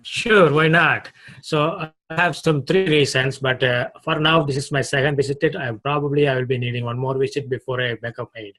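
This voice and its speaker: A man from India with an Indian accent, a club guest giving a short unprepared speech on a video call, halting and restarting his phrases. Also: mid-range voice, fairly even intonation, fairly bright tone.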